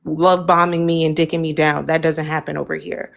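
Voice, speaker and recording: A woman on a panel in a casual group chat, laying out her views in a firm, deliberate way. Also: sits low, flat delivery, gravelly. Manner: firm, matter-of-fact, deliberate.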